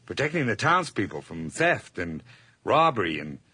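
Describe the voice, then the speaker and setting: A man's voice: lowish voice, sing-song, moderate pace, bright, forceful tone, slightly rough, dry recording, full character voice. An actor playing a nervous, over-polite hired man hiding guilt, stammering and effusive in an old radio horror drama. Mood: nervous, eager.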